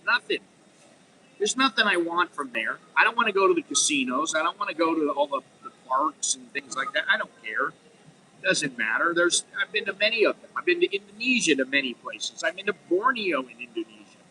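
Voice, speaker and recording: A man's voice: a little high, expressive, fairly quick, sharp tone, slight background noise, poor audio. A middle-aged American man talking frankly in a rambling, unscripted monologue, full of restarts and "you know.". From United States. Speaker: vlogger.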